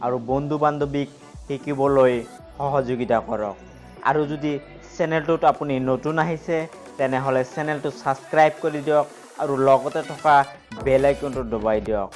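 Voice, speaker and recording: A man's voice: medium pitch, steady tone, very fast, soft background music. A man, reading a scripted video channel outro to viewers.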